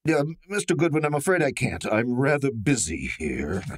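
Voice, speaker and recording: Man playing a husky, nervous radio suspect. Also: mid-range voice, very animated. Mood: evasive, uneasy. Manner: halting, flustered. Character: nervous, evasive man caught up in a murder case. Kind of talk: old-time radio drama acting.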